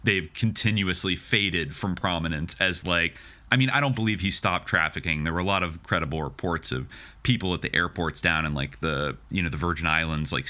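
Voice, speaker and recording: A man's voice: deep voice, bright, forceful tone. An American man hosting a podcast, talking casually and analytically in hedged, exploratory sentences. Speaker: podcast host.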